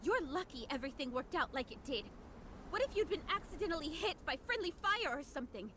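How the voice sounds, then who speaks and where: Anime game comrade, female: chiding, caring, lively intonation, slight background noise. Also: high-pitched, fast talker. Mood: exasperated. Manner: indignant, chiding. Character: scolding, exasperated woman comrade who cares. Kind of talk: anime-style game dub acting.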